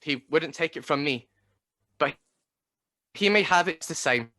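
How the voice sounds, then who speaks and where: A man's voice: fairly high pitch, lively intonation, unhurried, bright, forceful tone, no echo, clean studio sound. A man playing a cheerful, forgiving young husband in a radio play, jovial and good-natured. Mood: merry toasting.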